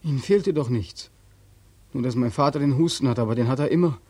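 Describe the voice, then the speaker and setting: An actor playing a despairing, homeless soldier back from war, emotional and pleading, in 1940s radio drama style. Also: male voice, mid-range voice, a little fast, darkish tone, dry recording, lightly acted. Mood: stunned, grieving. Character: despairing returned soldier, homeless, anguished and naive. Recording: dry 1940s radio recording.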